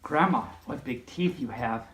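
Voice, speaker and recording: A woman acts out a confident young storybook girl, proper and easily offended. She lectures in declamatory, animated tones, with audible room echo. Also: extremely low pitch, a little slow, pitched below the performer's own voice. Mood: cheerful, condescending.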